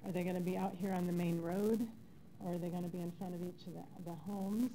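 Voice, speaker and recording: A woman's voice: lowish voice, level tone, a little fast, dark tone, rough recording. A middle-aged woman, a resident giving informal public comment at a podium microphone in a council chamber. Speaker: resident speaking at a public hearing. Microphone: gooseneck podium microphone. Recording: faint background noise, public meeting room.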